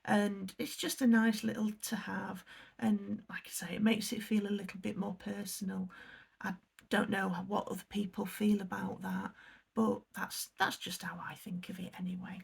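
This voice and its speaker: An English woman chats her way through an unboxing, reading the packaging text aloud and apologising for her pronunciation. Her voice is heavily gravelly and full of fry, pitched in an ordinary middle range. She sounds easygoing and self-deprecating. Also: flat delivery, normal speed. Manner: chatty, apologetic, reads product blurbs aloud. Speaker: unboxing vlogger. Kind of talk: casual chat while unboxing.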